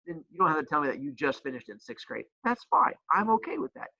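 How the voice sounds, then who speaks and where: Lively, bright-voiced joking male teacher. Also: on the high side, fast, no echo, dull audio. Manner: joking, playful teasing; says he has a loud voice. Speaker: teacher and school coach. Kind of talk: explaining a homework assignment.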